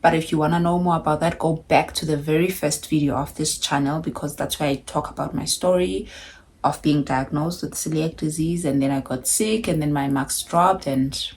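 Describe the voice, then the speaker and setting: Husky low-voiced South African woman. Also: steady tone, moderate pace, a little mellow. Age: 30s. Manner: chatty and candid, with wry asides. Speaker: vlogger. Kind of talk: casual talking-to-camera vlog.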